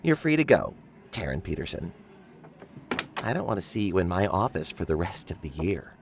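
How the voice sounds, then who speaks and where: An actor playing a stern, exasperated school principal in a family audio drama, speaking with authority. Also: male voice, sits low, very animated, moderate pace, gravelly, noisy background, heavily acted. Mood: stern, grudging.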